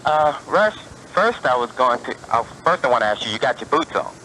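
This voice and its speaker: A man's voice: medium pitch, sing-song, moderate pace, bright, forceful tone, low-quality recording. A man calling into a radio show and challenging the host with plainspoken questions. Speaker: caller. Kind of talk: call-in phone conversation. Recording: caller audio on a 1990s radio broadcast with faint hiss.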